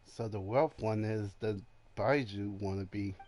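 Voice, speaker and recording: A man's voice: lowish voice, slow pace, a little mellow, no echo. A man giving casual, off-the-cuff commentary as he plays a game, close to the mic. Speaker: gamer, channel host.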